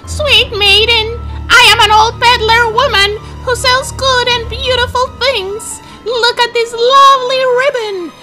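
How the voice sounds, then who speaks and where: A woman's voice: very high voice, fairly even intonation, unhurried, music in the background. A woman voicing a sly, sugary old peddler woman in a children's storybook tale, coaxing like a saleswoman. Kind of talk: children's storybook play with dolls.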